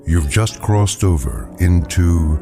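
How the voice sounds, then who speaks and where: A man as a grave, portentous narrator of eerie radio tales, solemn, deliberate and theatrical. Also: deep voice, lively intonation, exaggerated voice. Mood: solemn, mysterious. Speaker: narrator and host. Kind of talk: dramatic scripted radio anthology narration. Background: dramatic music.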